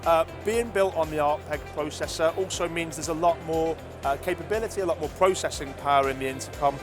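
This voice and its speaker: A man explaining a product conversationally as an interviewee, measured and often hesitating with ums and filler phrases. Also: fairly high pitch, over music.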